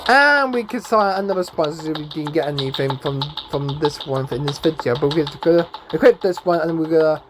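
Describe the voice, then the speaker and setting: A man giving casual gameplay commentary as a YouTuber, rambling and stumbling over his words, his voice hoarse and going. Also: fairly high pitch, animated, brisk, few pauses, noisy background. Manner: rambling, stumbling, tags lines with "eh?" and "then, guys". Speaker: gaming YouTuber. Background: game music.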